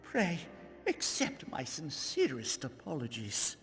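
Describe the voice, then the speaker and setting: An actor playing a principled, impassioned wealthy lord in a fantasy game, with courtly, theatrical phrasing. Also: male voice, fairly high pitch, huge pitch swings, slow pace, heavily acted. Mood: outraged. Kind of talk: scripted fantasy game voice acting. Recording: long hall reverb, music underneath.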